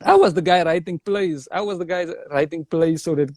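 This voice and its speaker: A young South African comedian in casual interview chat, telling stories in a lively way and saying "bruh". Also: male voice, fairly high pitch, a little fast, no echo, clean studio sound. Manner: animated storyteller, says "bruh". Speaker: comedian guest.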